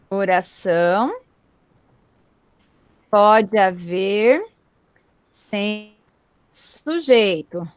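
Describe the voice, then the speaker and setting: High-voiced teacher explaining grammar online. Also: female voice, dry recording. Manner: explains slowly, checks in with "tá?". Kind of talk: online class lecture. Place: home, online video class. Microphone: computer mic on a video call.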